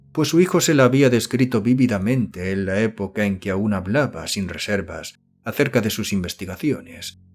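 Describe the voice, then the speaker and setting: A man reading a horror novel as an audiobook narrator, in a measured, solemn manner. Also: lowish voice, darkish tone.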